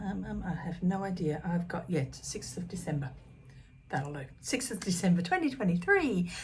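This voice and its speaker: A woman in her fifties chatting casually to camera about her stitching, scattered and full of ums, her voice slightly croaky. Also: mid-range voice, a little hiss or hum. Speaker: cross stitch vlogger. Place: small room at home.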